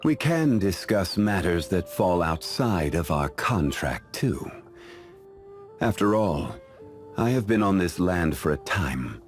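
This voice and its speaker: Ancient immortal man, game character, very deep voice, slow deliberate delivery, composed, refined, wise. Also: heavily acted. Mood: courteous. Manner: measured, formal, refined, deliberate. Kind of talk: scripted video game character voice lines.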